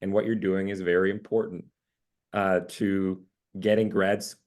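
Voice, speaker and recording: A man hosting a webinar interview with a husky voice today, joking loosely and filling pauses with "uh". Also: low voice, flat delivery, a little mellow. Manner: jokey, loose, filler-heavy. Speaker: interview host.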